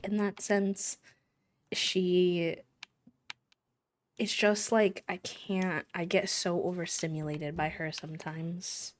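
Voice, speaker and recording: A woman's voice: lowish voice, flat delivery, slow pace. An American woman chatting casually on a game stream in a rambling, self-deprecating way. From United States. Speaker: live streamer.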